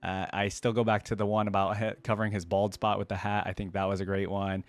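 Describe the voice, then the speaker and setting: A man's voice: on the low side, flat delivery, fast. A man hosting a reaction video, giving casual, informal commentary and repeating phrases for emphasis.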